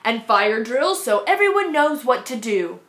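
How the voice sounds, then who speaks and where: A woman's voice: on the high side, big pitch swings, measured pace, a bit bright. A woman voicing a patient male schoolteacher who lectures steadily and with emphasis, in a storybook read-aloud. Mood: instructive. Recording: echoey room.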